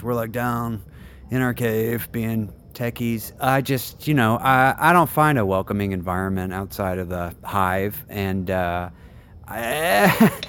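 A man playing a nervous, self-deprecating tech worker in an audio drama, halting, stammering and correcting himself as he rambles. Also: lowish voice, a bit bright, slight background noise. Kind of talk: naturalistic audio drama dialogue.

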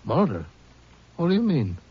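An actor in a 1950s radio drama playing a stubborn, frightened Mexican courier with an accent and broken, evasive English. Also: male voice, fairly high pitch, huge pitch swings, normal speed, breathy edge, exaggerated voice. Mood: alarmed, pleading. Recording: muffled old 1950s radio recording.

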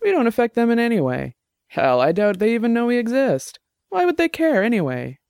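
A man's voice: very high voice, sing-song, brisk, vocal fry, darkish tone, clear, resonant tone, dry recording, studio quality, voice pushed up, full character voice. A man voicing an easygoing young male artist who teases his friends, in an audiobook. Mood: teasing. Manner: easygoing, teasing.

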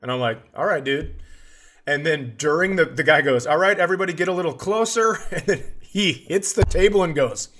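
A man's voice: a little high, fairly bright tone. A man co-hosting a comedy podcast, telling casual anecdotes with wry humor, swearing and quoted dialogue.

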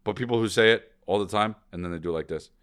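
A man's voice: on the low side, fast, fairly bright tone, clean tone, dry recording, clean studio sound. A man co-hosting a podcast in casual, profane chat, joking around and putting on silly voices. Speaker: podcast co-host.

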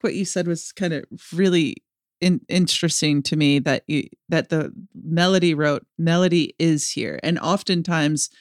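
A woman co-hosting a podcast, sharing thoughts in a slow, halting way. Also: deep voice, no room sound, studio quality. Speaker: podcast co-host. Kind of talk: casual interview chat.

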